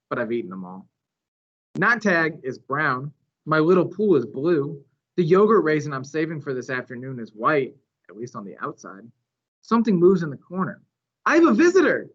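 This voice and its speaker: A man reads a children's novel aloud as a storyteller. His phrasing is dramatic and his pitch swings widely through the narration. The recording is a little dull and lo-fi. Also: fairly bright tone. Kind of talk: expressive read-aloud narration.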